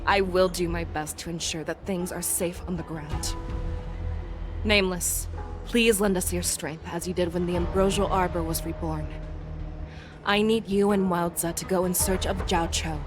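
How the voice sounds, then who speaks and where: A woman's voice: lowish voice, fairly even intonation, moderate pace, music in the background, lightly acted. A woman playing a bold, authoritative general in an anime-style video game. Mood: resolute. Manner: authoritative, resolute. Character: bold commanding woman general rallying her knights.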